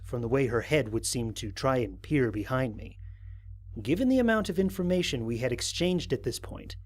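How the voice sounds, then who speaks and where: Man acting an analytical explorer with lively intonation. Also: mid-range voice. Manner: measured, formal, precise scientific diction. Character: analytical, dryly wry human explorer logging first contact. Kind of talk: scripted audio drama mission log narration.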